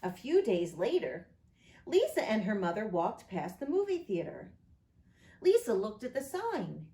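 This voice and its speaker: A woman reads a children's story aloud with expressive, very varied intonation. She takes her time, and the room around her is audibly echoey. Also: medium pitch, a little slow. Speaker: pastor reading a children's story. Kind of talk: picture book read aloud.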